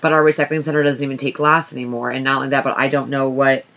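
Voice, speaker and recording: A woman with a naturally deep, raspy voice, co-hosting a podcast in casual, rambling chat with lots of fillers and self-mocking restarts. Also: flat delivery, fast, fairly bright tone, a little hiss or hum. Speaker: podcast co-host. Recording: dull lo-fi podcast recording.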